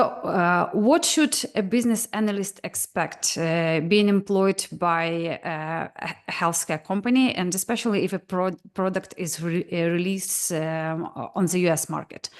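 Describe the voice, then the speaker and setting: Hesitant woman presenting. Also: fairly low pitch. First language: Ukrainian. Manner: hesitant, many "uh" fillers. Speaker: guest presenter. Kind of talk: online presentation. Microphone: video call.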